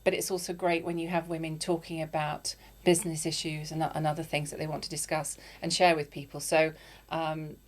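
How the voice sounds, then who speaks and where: A woman's voice: low voice, flat delivery, a little fast. A woman hosting an interview podcast, encouraging her guest and chiming in with frequent affirmations. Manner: warm, encouraging, with lots of affirming "yeah"s. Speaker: podcast host. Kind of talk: conversational interview.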